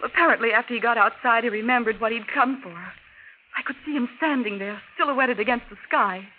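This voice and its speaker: An actress playing a shaken society wife in a 1940s radio mystery, recounting with hesitant drama. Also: female voice, on the high side, a little fast, lightly acted. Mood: shaken, dramatic. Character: shaken, breathless society wife telling her ordeal. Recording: tinny, noisy 1940s radio recording.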